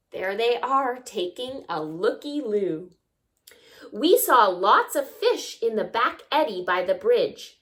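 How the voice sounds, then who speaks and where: A woman reading a picture book aloud to young children, expressively, with asides and questions to the listeners. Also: on the high side. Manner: expressive, acts out sounds and asks the listeners questions. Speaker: story time reader.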